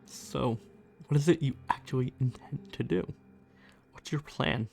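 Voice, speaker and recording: A man playing a smug, condescending vampire who teases his prey with quiet menace in an ASMR roleplay. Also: medium pitch, creaky, soft background music. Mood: sly, skeptical. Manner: condescending, slow, teasing, menacing. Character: smug, teasing male vampire stalker toying with his hunter. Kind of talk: ASMR roleplay, acted monologue.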